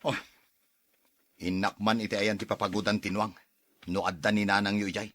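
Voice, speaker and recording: A man playing a suspicious, jealous husband and stern father in a radio drama, with a gruff, accusing manner. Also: fairly low pitch, little pitch movement, moderate pace, a bit bright, dry recording. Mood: suspicious, probing.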